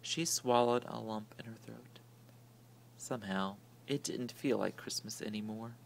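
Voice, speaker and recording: A man reading a children's story aloud in an expressive, theatrical way that swings from hushed to booming. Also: unhurried, gravelly, a little hiss or hum. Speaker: storybook reader.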